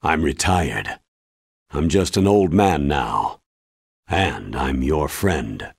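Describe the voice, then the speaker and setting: Aging ex-army officer for a game: low, rough-edged American voice, solemn and sincere, dry studio sound. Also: male voice, expressive, dry recording, full character voice. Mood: earnest, pleading. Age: older. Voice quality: deep husky. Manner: grave, measured, earnest. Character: weary, earnest old retired male military commander. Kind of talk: video game cutscene acting.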